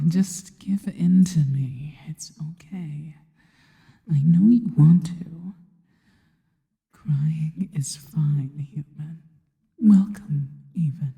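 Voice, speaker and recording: A woman voicing a seductive, possessive yet devoted female spider monster in an ASMR roleplay, mostly in a slow, breathy, teasing whisper. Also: low voice, mellow, lo-fi sound, heavily acted. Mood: coaxing, tender. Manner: slow, teasing, intimate delivery. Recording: long reverberant tail on the voice.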